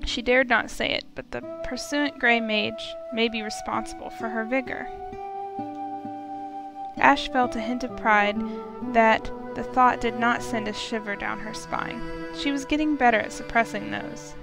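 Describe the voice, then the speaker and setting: A woman with a high-pitched voice reads scripted narration from a visual novel. The delivery is storytelling prose read aloud. Game music and rainfall run clearly behind the voice. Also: fairly even intonation, measured pace. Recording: loud music and rain behind.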